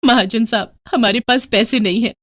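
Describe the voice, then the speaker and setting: A woman's voice: on the high side, fairly even intonation, normal speed, fairly bright tone, no echo, clean studio sound. A woman voicing a dutiful, hopeful young village daughter-in-law in a story, with an earnest, pleading manner. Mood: worried, pleading.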